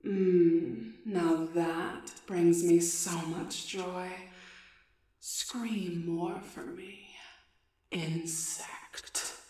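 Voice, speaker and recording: A woman's voice: fairly low pitch, fairly even intonation, extremely slow, warm tone, roomy sound. A woman voicing a sadistic young female minion with a cruel, whispery drawl.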